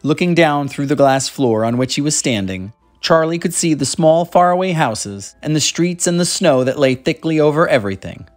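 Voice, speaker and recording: A reader narrating a children's book aloud in an animated, scripted style. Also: medium pitch, normal speed, hardly any pauses, soft background music. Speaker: storybook reader.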